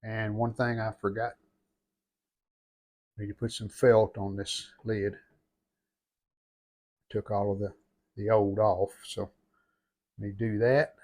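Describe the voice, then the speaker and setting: A man's voice: lowish voice, little pitch movement, takes it slowly, pauses often, a little mellow. A man talking casually through hands-on restoration work, in short, plain-spoken remarks as he goes. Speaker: vlogger restoring an antique radio. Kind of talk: casual hands-on workshop narration.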